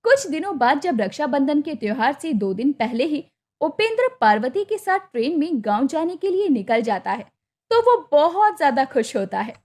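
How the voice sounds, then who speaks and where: A woman storyteller with a very high voice and lively intonation. She reads scripted narration for a cartoon moral tale. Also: moderate pace.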